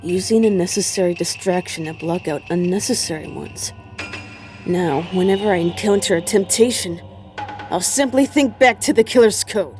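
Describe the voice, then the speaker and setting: A woman's voice: on the low side, normal speed, darkish tone, close to an everyday voice. Woman as a solemn, theatrical killer with music behind. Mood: earnest resolve. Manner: solemn, grandiose, formal. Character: earnest, theatrical female killer devoted to her code. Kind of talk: amateur audio drama acting.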